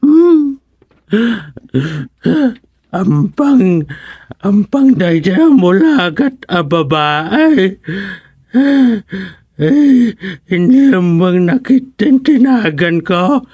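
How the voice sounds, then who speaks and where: Slow, swooping, whiny confused elder. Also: medium pitch, very animated, warm tone, slightly rough, no room sound, clean studio sound, full character voice. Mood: whiny, insistent. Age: older. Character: confused, stubborn elderly grandparent called Apong. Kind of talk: Ilocano radio comedy drama acting.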